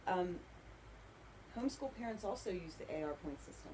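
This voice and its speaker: A woman with a low, mellow voice answers an interviewer conversationally. She explains things earnestly, with faint noise in the background. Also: expressive, normal speed, low-quality recording. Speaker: author and bookseller being interviewed. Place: inside a bookstore.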